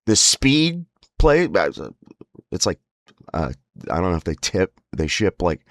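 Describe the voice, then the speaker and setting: A man's voice: low-pitched, big pitch swings, measured pace, fairly bright tone, no room sound. A man with a strained, spasmodic voice telling a casual, wry story as host of his own show, rambling and emphatic. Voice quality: spasmodic, strained breaks from spasmodic dysphonia. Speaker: host of a lifestyle series about a voice disorder. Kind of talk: casual anecdotal monologue.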